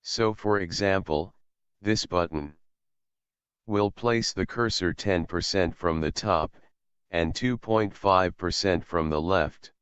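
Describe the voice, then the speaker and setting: A man calmly and methodically walking through a screen-recorded software tutorial as an instructor. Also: sits low, slow, clean tone, no room sound. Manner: calm, methodical explaining. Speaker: assistive technology instructor. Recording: slightly dull, dry voice-over.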